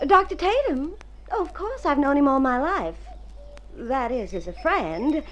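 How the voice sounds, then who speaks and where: A woman's voice: high-pitched, sing-song, measured pace, fairly bright tone, highs cut off, exaggerated voice. An actress playing a sweet, modest young farm girl from the mountains, artless and wistful, in vintage radio drama. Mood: chatty, innocent. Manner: artless, wistful, self-deprecating. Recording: old radio recording with some noise.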